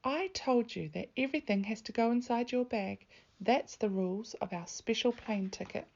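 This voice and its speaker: A brisk, no-nonsense mother from a storybook, as a woman reader plays her. She speaks firmly and emphatically, on a slightly muffled recording. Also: a little high, gravelly, darkish tone, pitched above the performer's own voice. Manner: firm, emphatic. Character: firm, brisk mother laying down the rules.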